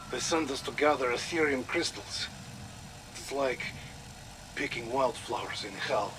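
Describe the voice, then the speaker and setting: A man playing a disillusioned special forces soldier with a Russian accent, sombre and deliberate in a game audio log. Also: close to an everyday voice. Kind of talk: dramatic audio log acting. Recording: noisy audio log with engine-like rumble.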